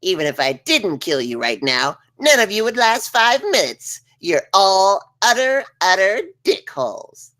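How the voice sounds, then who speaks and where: A woman's voice: mid-range voice, sing-song, measured pace, hardly any pauses, fairly bright tone. A woman playing a vengeful, contemptuous villain bug in a comedy table read, with a theatrical, commanding manner. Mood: contemptuous rage. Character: vengeful, sneering villain bug bent on revenge.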